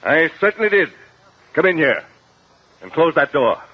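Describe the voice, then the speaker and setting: An actor playing a stern older judge and strict uncle, commanding and scolding, in a 1950 radio drama. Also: male voice, on the high side, very animated, normal speed. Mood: stern, indignant. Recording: muffled vintage 1950 radio recording.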